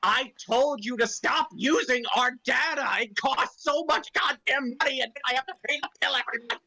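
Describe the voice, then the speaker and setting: A man's voice: very high voice, moderate pace, pushed and bright. A man improvising comic, sweary banter during a playful script reading. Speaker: cast member in a comedy script reading.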